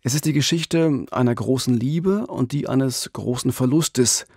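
A man's voice: mid-range voice, a little slow, darkish tone, slightly rough, no room sound. A middle-aged man hosting a true-crime podcast, telling the story conversationally into a studio microphone. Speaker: podcast host. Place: radio studio. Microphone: studio microphone up close with pop filter.

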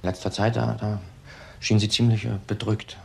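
An actor playing an agitated, evasive husband in his thirties in a crime drama, speaking haltingly and repeating words. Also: on the low side, flat delivery, gravelly, a little mellow. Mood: evasive, subdued. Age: 30s. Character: young widower husband, agitated, evasive under questioning. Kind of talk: German crime drama acting.

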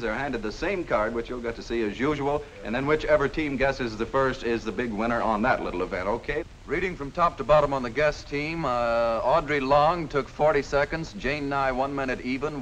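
A man hosting a television game show, brisk and jokey as he announces scores and explains the rules. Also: mid-range voice, fairly bright tone, slight background noise, low-quality recording. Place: television studio set.

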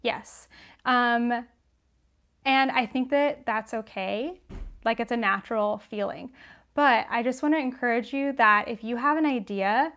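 A woman's voice: a little high, a little slow, fairly bright tone. A young woman hosting a casual livestream Q&A, chatty and encouraging, with a sniffly nose, on a lapel mic in a small room at home. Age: young adult. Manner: chatty, encouraging, many ums and likes. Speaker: knitting channel host.

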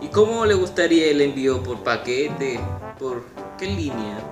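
A teenage male talks in a polite, halting way in a small, echoey room. His pitch is average, and music plays behind him. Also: animated. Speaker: YouTuber making a prank call. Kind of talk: improvised prank phone call, posing as a prize agent. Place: small room at home, indoors. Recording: roomy echo.

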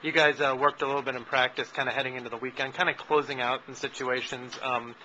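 A man asking a coach postgame interview questions in a quick way, with fillers like uh and kinda. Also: medium pitch, steady tone, bright, forceful tone. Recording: dull lo-fi with faint noise.

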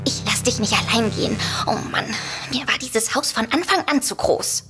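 A woman's voice: a little high, moderate pace, over music, natural voice. A woman playing a frightened, worried novelist sister in an audio drama. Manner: worried, hesitant. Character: anxious, frightened sister, a novelist under threat. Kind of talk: German audio drama acting.

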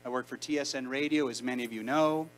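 A man's voice: medium pitch, steady tone, a little hiss or hum. A man around thirty from Canada, a rabbi preaching a conversational sermon into a handheld mic in a large sanctuary. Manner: conversational, emphatic preaching with deliberate pauses. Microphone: handheld mic, far camera.

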